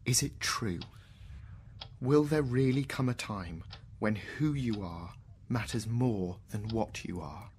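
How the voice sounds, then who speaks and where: A man's voice: on the low side, expressive, takes it slowly, darkish tone, breathy edge, exaggerated voice. An actor playing a wry, searching, wounded male mathematician in a radio drama, sceptical and emotionally reactive. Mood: bitter, pleading.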